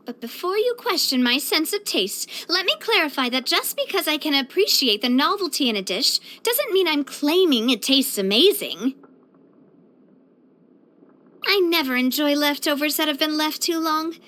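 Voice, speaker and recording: A young woman voicing a cheerful, elegant young lady leader in a video game, earnest, chatty and expressive. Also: high-pitched, normal speed, few pauses. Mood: matter-of-fact. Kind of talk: video game character voice lines.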